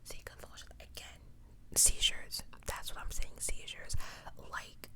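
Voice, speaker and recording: Asmr host whispering true crime the whole way, soft and breath only, chatty slangy asides. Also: unhurried. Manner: casual, slangy asides between read passages.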